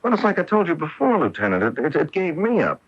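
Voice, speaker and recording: An actor in a radio drama playing a defensive, self-deprecating former golfer husband who talks hesitantly and ruefully. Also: male voice, a little high, huge pitch swings, quick pace, slightly rough, full character voice. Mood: rueful, candid. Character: bitter, defensive former pro golfer husband with a guilty secret. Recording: muffled old AM radio broadcast.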